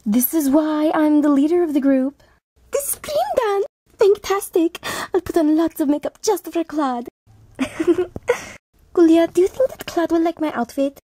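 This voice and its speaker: A woman voicing excitable, fashion-loving teenage monster girls from a cartoon in a perky, gushing manner. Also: high voice, a little slow, no room sound, clean studio sound, voice pushed up. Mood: perky, excited.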